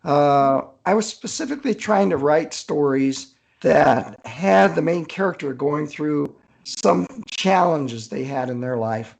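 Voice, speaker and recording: Gravelly, husky man chatting. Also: on the high side, lively intonation, measured pace, hardly any pauses. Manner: chatty, thinks aloud, chuckles often. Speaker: author being interviewed. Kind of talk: casual conversational interview answers.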